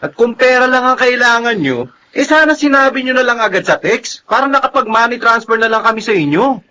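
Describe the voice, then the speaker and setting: Defensive son in filipino radio drama, high sharp voice, little background noise. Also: male voice, lively intonation, fairly quick, full character voice. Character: defensive but apologetic grown younger son.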